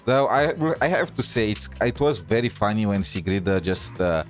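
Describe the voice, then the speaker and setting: Low-voiced man commenting on gameplay. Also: expressive, soft background music. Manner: hesitant, halting, joking. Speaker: gaming streamer.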